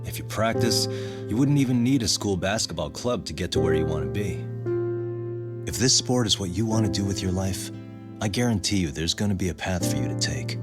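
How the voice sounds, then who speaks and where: An actor playing a calm, protective adult detective with an easygoing, reassuring manner in an English game dub. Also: male voice, low voice. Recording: prominent guitar music behind. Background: plucked guitar music.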